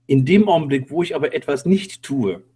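A man co-hosting an online talk show, explaining spontaneously and haltingly, repeating words for emphasis. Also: fairly high pitch, dark tone, breathy edge. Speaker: co-host. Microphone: online call.

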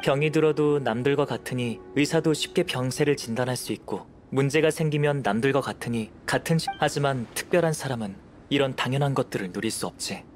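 An actor playing a calm, scholarly young alchemist in an anime game, composed, measured and gently detached. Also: male voice, mid-range voice, soft background music, close to an everyday voice. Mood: reflective, calm.

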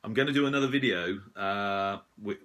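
A man in his forties giving a casual, modest, hesitant update to camera in a small room, sounding slightly rough and husky after a late night. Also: on the low side, a little fast, a bit bright. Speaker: shortwave radio hobbyist and video host.

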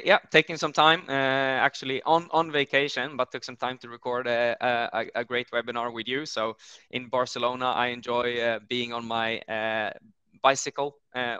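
A man in his thirties, a native Swedish speaker with a Swedish accent, talking as an executive guest over a headset on a video call, energetic with many fillers. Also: mid-range voice, smooth, dry recording, highs cut off. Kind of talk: business webinar conversation.

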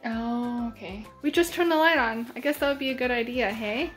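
A woman's voice: on the high side, moderate pace, fairly bright tone, soft background music. A woman in her thirties from Canada, chatting casually while showing her home on a handheld phone camera. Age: 30s. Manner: chatty, easygoing, with many fillers like "like". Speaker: vlogger giving a house tour. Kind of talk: casual house-tour chat. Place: indoors in a home. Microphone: handheld phone camera at arm's length.

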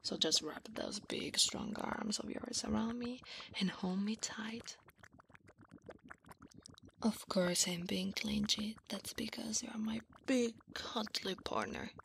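A woman voicing an affectionate, teasing, clingy slime wife in a soft, half-whispered ASMR roleplay. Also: mid-range voice, slow. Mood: clingy, playful. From Italy. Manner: soft, breathy half-whisper, intimate, coaxing.

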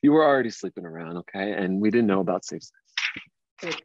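An actor in a dramatic script reading, playing a well-to-do man in his late thirties, a hurt and accusing ex-lover, with a husky voice. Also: sits low, animated, fast talker, background noise. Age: 30s. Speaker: actor at a table read. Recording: dull, lo-fi but dry recording.

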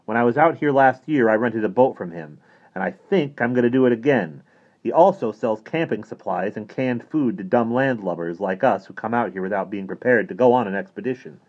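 Man playing emphatic priest, raised pitch, muffled recording. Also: animated, fairly quick, darkish tone. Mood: triumphant, eager. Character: emphatic, learned male priest leading an adventure.

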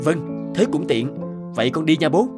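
An actor playing an impatient, proud adult son in an animated story, with an emphatic, scolding manner. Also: male voice, on the high side, moderate pace, over loud music, natural voice. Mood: brisk. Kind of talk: animated moral story dubbing.

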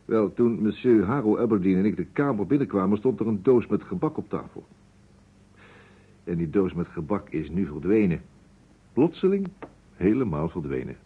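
An actor playing a courteous, precise private detective in a vintage radio play, musing deliberately and dropping in French phrases. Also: male voice, lowish voice, big pitch swings, husky. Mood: measured. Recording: muffled phone-like old radio recording.

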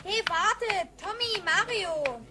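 An actress playing an indignant, easily startled child in a radio play. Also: female voice, very high-pitched, lively intonation, takes it slowly, heavy background noise, full character voice. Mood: indignant, impressed. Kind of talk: German radio play acting.

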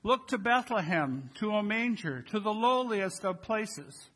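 An older American man speaks as a pastor leading worship. His voice sits a little high for a man. He addresses a congregation in the manner of church announcements and liturgy. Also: expressive, measured pace, a bit bright, rough recording. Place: large church sanctuary with congregation.

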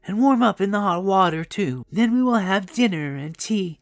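Narrator doing a kindly old granny for an audiobook, pitched way up, chatty and motherly, swoopy. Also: animated, normal speed, no echo, clean studio sound, close to an everyday voice. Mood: reassuring. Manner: motherly, reassuring, emphatic. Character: kindly, chatty old grandmother, fussing and protective.

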